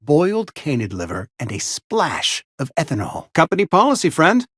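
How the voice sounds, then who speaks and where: A prim, mournful frontier barber and undertaker from a video game, loyal to his company. His voice sits a little high and swings expressively up and down. He talks in florid, over-polite, formal sentences, in a dry, close recording. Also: wildly swooping pitch, normal speed, a bit bright, breathy edge, dry recording, exaggerated voice. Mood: earnest, stoic. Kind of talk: theatrical video game character acting.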